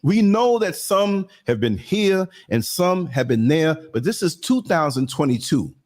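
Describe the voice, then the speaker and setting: A man's voice: a little high, sing-song, measured pace, darkish tone. A man hosting a live talk show, speaking earnestly and repeating words as he pushes his point. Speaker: host. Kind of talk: casual chat.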